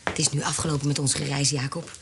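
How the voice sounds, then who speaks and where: An actress playing a self-assured, businesslike adventuress in a period radio drama, cool, curt and decisive. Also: female voice, low-pitched, little pitch movement, fast. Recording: noisy old radio drama recording.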